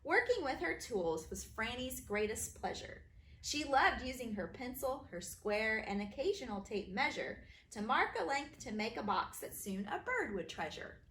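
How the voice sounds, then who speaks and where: A woman teacher reading children's stories aloud in an expressive, sing-song way for young listeners. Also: on the high side, moderate pace. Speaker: kindergarten teacher reading her own stories. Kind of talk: animated children's storybook reading. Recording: roomy echo, faint background noise.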